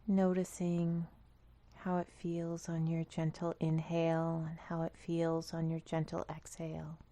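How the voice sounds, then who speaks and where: Slow, gentle woman guiding meditation. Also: low voice. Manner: gentle, calm, instructional. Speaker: meditation guide. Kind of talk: guided body scan meditation.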